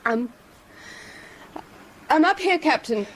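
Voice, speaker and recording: A woman's voice: high-pitched, animated, slow, a little hiss or hum, low-quality recording. A woman playing a worried but defiant airline stewardess in a radio drama.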